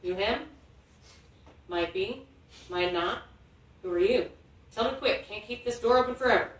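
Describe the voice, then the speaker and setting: A man's voice: high-pitched, a little slow, a bit bright, voice pushed up. A woman voicing a guarded, loyal rural man with folksy, ungrammatical speech in a story read aloud. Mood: guarded, curt. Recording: echoey hall, distant, faint noise.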